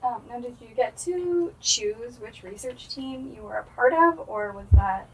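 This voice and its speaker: A woman running an informal interview, asking brisk, casual questions. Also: on the high side, lively intonation. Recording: distant mic, faint room noise.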